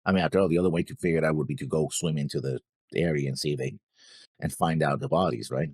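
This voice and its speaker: A man's voice: rumbling low, expressive, fast, vocal fry, darkish tone, husky, no room sound, clean studio sound. A man co-hosting an anime reaction show, casually going over his notes on an episode.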